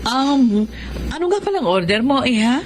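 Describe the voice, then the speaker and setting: A woman's voice: on the high side, big pitch swings, normal speed, slight background noise. An actress playing a gracious middle-aged female restaurant owner with a Filipino accent in a radio drama.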